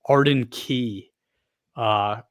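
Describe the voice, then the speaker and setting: Man, football podcast co-host, animated, rhetorical, mid pitch. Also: very slow. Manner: animated, emphatic, rhetorical questions, many "right?". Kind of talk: casual sports chat.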